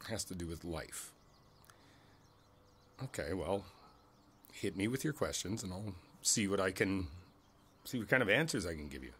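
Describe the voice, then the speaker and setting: A man playing an easygoing, friendly older neighbor in a conversational ASMR roleplay, speaking thoughtfully with hesitant pauses. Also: deep voice, animated, fairly bright tone, slight background noise. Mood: thoughtful, gentle. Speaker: voice actor in an audio roleplay. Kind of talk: ASMR roleplay, one side of a casual conversation. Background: outdoor ambience with birds.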